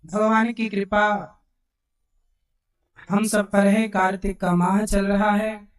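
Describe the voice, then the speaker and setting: A man with a hoarse throat preaching a devotional sermon to a live gathering, unhurried, asking the crowd questions and answering them himself. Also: high voice, little pitch movement. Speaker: Bhagavat katha preacher. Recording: roomy sound from a distant mic.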